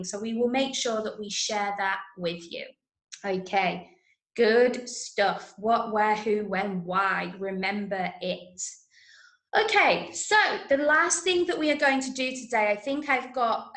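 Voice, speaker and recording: A woman with an English accent leading an online drama workshop for children, giving clear instructions and often checking in. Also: on the high side, unhurried, fairly bright tone. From Manchester, England. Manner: clearly instructional, frequent check-ins with okay and right. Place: indoors, online video session, roomy sound. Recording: roomy echo on online call.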